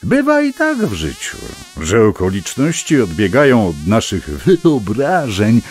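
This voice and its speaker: An actor playing a wordy, old-fashioned storyteller who narrates a children's fable with pompous, digressive relish. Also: male voice, lowish voice, huge pitch swings, a little slow. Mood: wry, musing. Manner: pompous, digressive, savoring each word.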